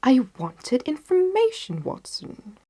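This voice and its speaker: A woman reading the part of a confident, determined gentleman detective in a storybook, with emphatic, articulate delivery. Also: high voice, huge pitch swings, slow pace, husky, pitched above the performer's own voice, exaggerated voice. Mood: amused, confident. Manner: emphatic, articulate, self-assured.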